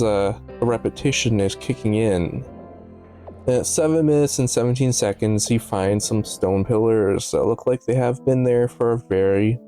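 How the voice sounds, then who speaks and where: A man's voice: medium pitch, warm tone. A man giving casual, analytical video commentary in a musing, slightly stumbling way, with offhand asides. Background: background music.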